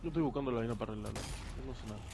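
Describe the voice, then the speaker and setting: A man's voice: mid-range voice, very fast, creaky, noisy background. A young man playing a co-op game who rants, repeats himself and shrieks when panicking. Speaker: gamer in a co-op group. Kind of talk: loud casual gaming banter.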